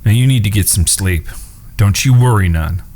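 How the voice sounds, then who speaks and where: An actor playing a loyal, hot-tempered outlaw brother in a western audio drama, blunt and forceful. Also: male voice, fairly low pitch, moderate pace, slight background noise, close to an everyday voice. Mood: steady, reassuring.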